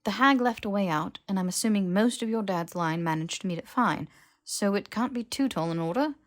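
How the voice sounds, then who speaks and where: A woman's voice: mid-range voice, a little fast, close to an everyday voice. A woman voicing an earnest, stubborn young wizard man who questions things in blurted disbelief, in a fantasy audiobook. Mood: insistent.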